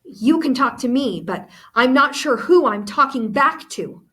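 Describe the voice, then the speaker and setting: Storybook girl played by a woman reader: angry, guarded, accusing, high husky voice. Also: animated, unhurried, bright, forceful tone, full character voice. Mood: bitter, angry. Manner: bitter, accusing. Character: bitter, angry, guarded girl narrator of a novel.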